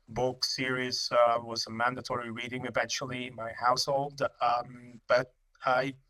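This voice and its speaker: A middle-aged man from Italy speaks with an Italian accent, stopping often to search for words. His voice is bright and crisp, with little rise and fall. He talks like a company director chatting in an interview. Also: medium pitch, rough recording. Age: 50s. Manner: halting, many ums and uhs, restarts. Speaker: company managing director, guest. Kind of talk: casual interview answers.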